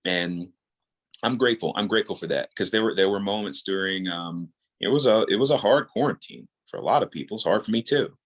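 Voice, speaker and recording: A man with a notably low, deep voice speaks as a guest in a casual interview. He is earnest and rambling, circling back and repeating words as he thinks aloud. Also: brisk. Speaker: podcast guest, audio drama creator.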